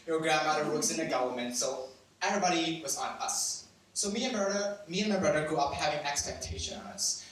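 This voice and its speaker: A man's voice: medium pitch, a bit bright, slight background noise. A young man from Cambodia with a Cambodian accent tells a personal story at a live storytelling event. He laughs often, mocks himself and swears cheekily. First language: Khmer. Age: young adult. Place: event venue with audience. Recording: very echoey room, mic at a distance.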